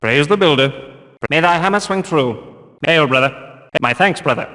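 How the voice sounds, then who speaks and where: A zealous guard in booming reverb. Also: male voice, expressive, moderate pace, bright, forceful tone. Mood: calm, conversational. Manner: pompous, declamatory, archaic phrasing. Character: zealous, stern male religious guard with archaic speech. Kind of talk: video game guard voice lines, theatrical archaic English.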